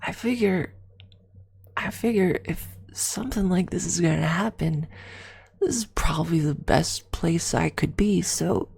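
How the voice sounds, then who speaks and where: A woman telling personal stories as an ASMR streamer, casually chatting in a hushed, unhurried way with frequent pauses. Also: lowish voice, vocal fry, breathy edge. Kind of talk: ASMR storytelling, casual chat.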